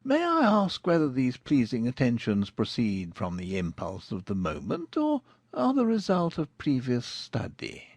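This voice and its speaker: A woman voicing a dry, mock-polite older gentleman, quietly teasing, in a dramatized classic novel. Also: very deep voice, huge pitch swings, unhurried, hardly any pauses, slightly rough, no echo, voice pushed down, heavily acted. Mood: dryly amused.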